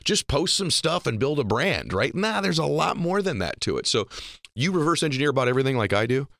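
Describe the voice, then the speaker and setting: Gravelly American male podcast host. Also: on the high side, big pitch swings, a little fast, a bit bright, no room sound, studio quality. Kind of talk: casual interview chat with short affirmations.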